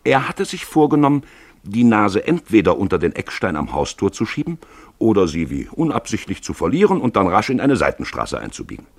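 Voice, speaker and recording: A man giving a deliberate, theatrical literary narration for a radio play. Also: lowish voice, huge pitch swings, vocal fry, no echo.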